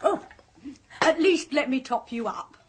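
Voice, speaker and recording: A hammy mocking older sister. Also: female voice, high-pitched, animated, unhurried. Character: flamboyant hammy middle-aged actress sister. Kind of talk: 1970s radio drama acting.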